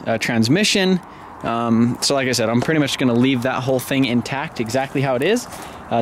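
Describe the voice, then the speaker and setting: A man's voice: mid-range voice, a little hiss or hum. A man in his thirties filming himself with a handheld camera, walking around cars on an outdoor lot and rambling casually with lots of ums and restarts. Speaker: car vlogger. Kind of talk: casual walkaround vlog. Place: outdoor salvage car lot, then a garage shop. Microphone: handheld camera at arm's length.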